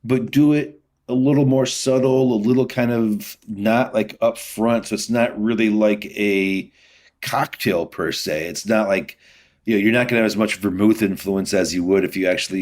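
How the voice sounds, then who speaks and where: A man's voice: lowish voice, fairly even intonation, normal speed, a little mellow. A middle-aged man talking casually as an interview guest, explaining things in a rambling, easygoing way. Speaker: podcast guest, whiskey distiller.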